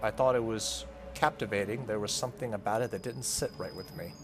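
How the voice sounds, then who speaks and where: Horror visual novel teen girl read by a man, low register, earnest and unsettled, conversational delivery. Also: fairly quick, music in the background, natural voice. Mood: uneasy, earnest. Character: frank, uneasy teenage girl telling a story.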